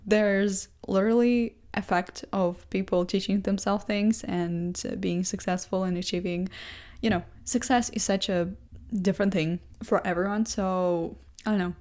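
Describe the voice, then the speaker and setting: A young woman, English not her first language, thinks out loud in a loose, rambling monologue. She talks at a middle pitch and keeps restarting her sentences with fillers. She pokes fun at herself as she goes. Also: steady tone, moderate pace. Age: young adult. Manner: chatty, self-deprecating, full of fillers and restarts. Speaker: vlogger. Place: seated indoors in a chair.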